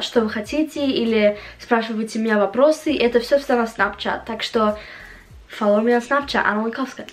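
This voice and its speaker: A young woman from Latvia speaking with an English-influenced accent, chatting casually to the camera as a vlogger and haltingly searching for words. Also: fairly high pitch. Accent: English-influenced Russian. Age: young adult. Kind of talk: casual chat to camera, answering viewer questions. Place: small home room, indoors.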